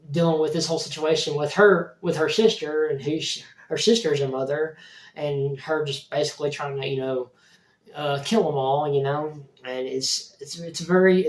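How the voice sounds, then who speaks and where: Hoarse man reviewing a movie. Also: fairly high pitch. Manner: rambling, many restarts. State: hoarse from a cold. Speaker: movie reviewer, channel host. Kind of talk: casual video review. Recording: roomy echo, distant mic.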